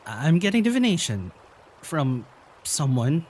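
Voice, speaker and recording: A woman's voice: sits low, sing-song, unhurried, a little mellow, slight background noise. A woman acting in an audio drama as a kindly magic-user, hesitant and nervously defensive.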